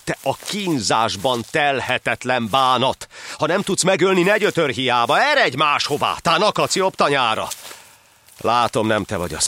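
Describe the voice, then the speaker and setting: A man's voice: mid-range voice, sharp tone, voice pushed up. A man voicing a brave young wandering hero in a verse audio drama, earnest and declamatory.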